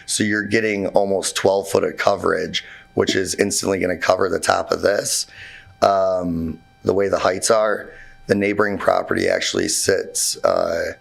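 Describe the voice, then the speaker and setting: A hoarse man with a very low, deeply gravelly voice speaks in a meeting room. His talk is halting and informal, full of fillers and restarts, and his voice sounds husky and nearly gone. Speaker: contractor presenting to a zoning board. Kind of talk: informal presentation at a public meeting.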